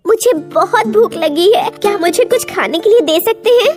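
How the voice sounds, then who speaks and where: A woman voicing a tiny, gentle, kind-hearted fairy-tale girl in a children's cartoon story, polite and pleading. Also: very high voice, animated, moderate pace, soft background music. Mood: weary, hopeful. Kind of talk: children's animated story dub.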